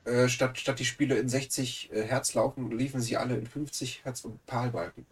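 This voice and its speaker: A man's voice: medium pitch, steady tone, normal speed, husky, distant mic. A man with a cold who sounds nasal, chatting casually as a podcast co-host with joking, teasing banter. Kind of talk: casual chat.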